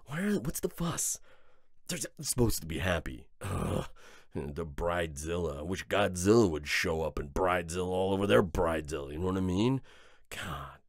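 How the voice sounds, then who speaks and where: A man plays a cocky, soft-hearted himbo horseman of war in an audio comedy. His lowish voice jumps around animatedly, with crude dude-bro phrasing that trips over itself, recorded very dry. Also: lively intonation, normal speed, no echo. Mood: grumbling. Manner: dude-ish, crude, stumbling when emotional. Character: brash, macho, flustered himbo horseman of war. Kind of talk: boyfriend ASMR comedy roleplay.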